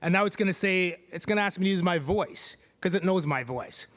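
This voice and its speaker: Middle-aged male presenter, high-pitched, playful and chatty, live demo with handheld mic. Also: fairly quick, a bit bright. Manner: playful, chatty, jokes with the crowd. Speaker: presenter giving a tech demo. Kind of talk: live stage demo to an audience. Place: stage at a tech meetup.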